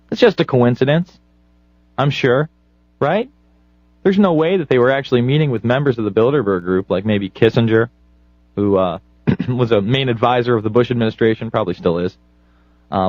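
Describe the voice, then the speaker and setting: A man's voice: mid-range voice, no room sound, lo-fi sound. Indignant male talk radio host, dry sound. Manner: indignant, sarcastic. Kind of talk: political talk radio commentary.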